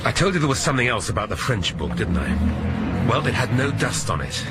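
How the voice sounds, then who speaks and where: An actor playing a cerebral, dryly ironic senior police inspector in a radio drama, speaking in a measured, deliberate way. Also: male voice, mid-range voice, very animated, loud music behind the voice. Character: cerebral, dry, probing senior male detective.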